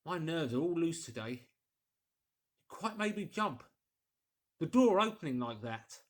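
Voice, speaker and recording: Storybook doctor played by a male narrator: nervy, insistent, emphatic, pitched well up. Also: big pitch swings, slow pace.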